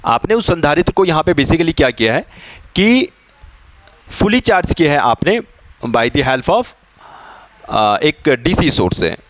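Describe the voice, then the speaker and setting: A man's voice: fairly high pitch, sing-song, takes it slowly, long pauses, fairly bright tone, dry recording. A man teaching an exam-preparation lesson, explaining step by step with much repetition and English technical terms mixed in. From India. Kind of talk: explanatory lesson working through practice questions.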